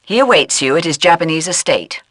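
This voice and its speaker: An actress playing a businesslike spy mission handler, giving brisk, instructive briefings in a video game. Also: female voice, deep voice, animated, a bit bright, no echo.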